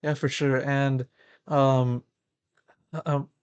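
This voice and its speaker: A man's voice: mid-range voice, level tone, slow pace, clean tone. A young man interviewing a player, asking long, meandering questions full of "you know" and repeated words. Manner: rambling, repeats words, many you-knows. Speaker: esports interviewer.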